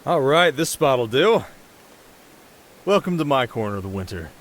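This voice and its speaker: A man's voice: mid-range voice, very animated, moderate pace. A man playing a cocky, playful winter spirit in an ASMR roleplay, speaking straight to the listener in flippant, sarcastic, casual banter.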